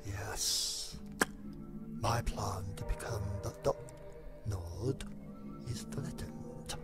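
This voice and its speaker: A man's voice: lowish voice, very slow, over loud music. A man voicing a vain, foul-tempered alien imperial chancellor in a campy sci-fi comedy audio drama, pompous and explosive in his delivery. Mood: brooding. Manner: pompous, grandiose, theatrical, explosive.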